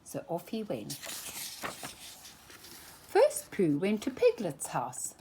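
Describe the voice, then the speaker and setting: Husky, slow storybook-reading woman. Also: on the low side. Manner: expressive, animated read-aloud. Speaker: storytime reader. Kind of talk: reading a children's picture book aloud.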